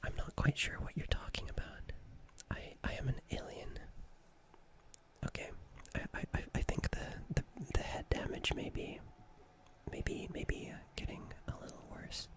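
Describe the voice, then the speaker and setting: A performer playing a gentle, nervous alien in a whispered ASMR roleplay, speaking in a breathy, hesitant, stammering and soothing way. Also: normal speed, lightly acted. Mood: reassuring, concerned. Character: gentle, nervous alien caring for an injured human.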